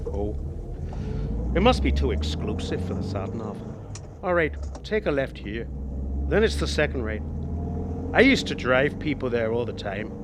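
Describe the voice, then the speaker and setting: An actor playing a chatty, wily older ex-cabbie in a radio drama, with a Northern Irish accent and a wry, insistent manner. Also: male voice, medium pitch, very animated, moderate pace, pauses often, background noise, close to an everyday voice. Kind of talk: naturalistic radio drama acting.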